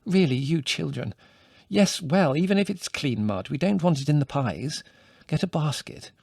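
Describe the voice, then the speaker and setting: A prim, flustered middle-aged mother who scolds and fusses in a raised, lively voice, as a male narrator puts her on. The audiobook sound is dry and close. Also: a little high, moderate pace, gravelly, breathy edge, dry recording, voice pushed up, heavily acted. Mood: fussing.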